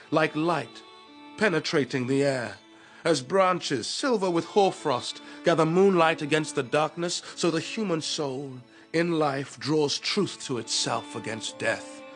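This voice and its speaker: An actor playing a proud, charismatic young god in a classical radio drama, speaking in a solemn, measured, incantatory way. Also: male voice, on the high side.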